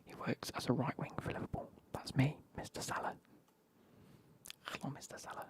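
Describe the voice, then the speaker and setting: A man, whispering as he banters with his live stream chat and swears now and then. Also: normal speed.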